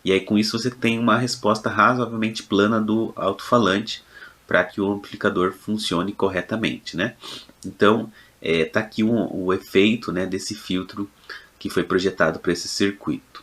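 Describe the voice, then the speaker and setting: A man with a low-pitched voice and a Brazilian accent narrates an informal technical tutorial. He explains like a lecturer, somewhat hesitantly, often ending phrases with small check-in tags. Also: fairly even intonation, moderate pace, fairly bright tone. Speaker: electronics lecturer.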